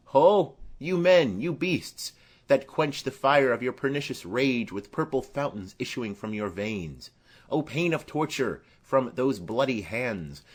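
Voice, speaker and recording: A man playing a stern, outraged prince who commands his subjects in declamatory Shakespearean style. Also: mid-range voice. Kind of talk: Shakespearean audiobook acting.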